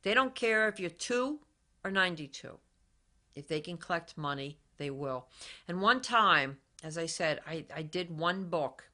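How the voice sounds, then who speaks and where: Woman, talk show host, bright forceful voice, emphatic, repeats her points. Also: lowish voice, lively intonation, unhurried. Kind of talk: direct-to-camera talk show.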